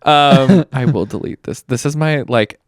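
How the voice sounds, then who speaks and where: A man's voice: medium pitch, measured pace, no room sound, studio quality. A man co-hosting a podcast in casual comedic chat, with a playful, sassy, teasing way of talking. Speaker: podcast co-host.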